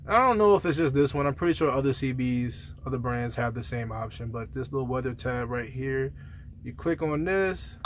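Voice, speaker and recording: Man, mid pitch, casual vlog review, trucker, colloquial, conversational, rambling, background noise. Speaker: truck driver reviewing gear.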